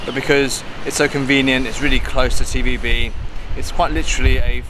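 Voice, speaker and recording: A young man with an English accent chatting casually on a vlog, easygoing and relaxed. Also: mid-range voice, a little fast. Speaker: vlogger and actor. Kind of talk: casual chat. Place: outdoors in a city, near traffic. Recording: loud traffic noise outdoors.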